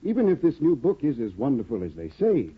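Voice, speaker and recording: A man's voice: medium pitch, wildly swooping pitch, fast talker, breathy edge. A man playing a fussy, anxious young scholar husband in a 1950s radio drama, stammering and eager. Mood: flustered. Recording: tinny old radio broadcast.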